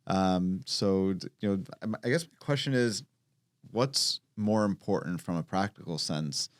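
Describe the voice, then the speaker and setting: Deep-voiced man explaining local taxes. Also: a little slow, dry recording, studio quality. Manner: explanatory, asks follow-up questions. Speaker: podcast co-host. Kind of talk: casual panel discussion.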